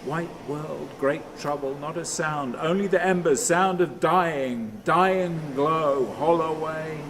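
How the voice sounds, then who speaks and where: A brooding, lonely man delivers a radio drama monologue in halting, repeated fragments. His voice sits a little high, and there is clear ambient noise behind him. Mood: haunted, brooding. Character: troubled, lonely man obsessively telling himself stories. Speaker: actor in a radio play.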